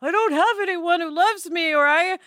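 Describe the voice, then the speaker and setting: A woman's voice: extremely high pitch, normal speed, fairly bright tone, dry recording, clean studio sound. A woman hosting a casual podcast, talking in a candid, emphatic and informal way. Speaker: podcast host. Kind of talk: casual conversational podcast chat.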